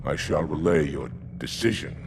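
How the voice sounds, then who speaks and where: Very deep, gravelly alien commander. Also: male voice, moderate pace, noisy background, full character voice. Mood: restrained deference. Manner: formal, clipped, stern. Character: stern, indignant, deferential male alien warrior commander. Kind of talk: dramatic video game acting. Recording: loud music behind the voice.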